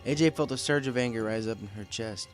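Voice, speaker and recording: A male narrator with a medium-pitched voice. He reads scripted fan fiction aloud, telling the story in prose between the characters' lines. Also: quick pace, faint music behind.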